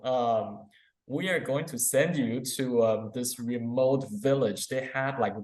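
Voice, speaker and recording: A man from Taiwan and Belize, a professor answering interview questions in an informal, explanatory way. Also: mid-range voice. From born in Taiwan, grew up in Belize. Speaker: psychology professor, interview guest. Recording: roomy, echoey interview sound.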